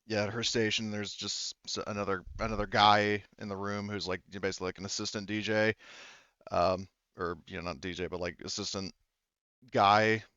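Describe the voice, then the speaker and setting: Male game master, lowish smooth voice, casual halting narration, close dull-sounding recording. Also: level tone, bright, forceful tone, no room sound. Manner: halting, informal, swears casually. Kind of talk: improvised tabletop game narration.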